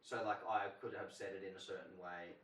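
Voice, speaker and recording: A man's voice: sits low, level tone, fairly quick, a bit bright, distant mic. A man co-hosting a podcast in casual chat, rambling and filling his speech with "like". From Australia. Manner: rambling, heavy use of "like". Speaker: podcast co-host. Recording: roomy sound from off mic, faint hiss.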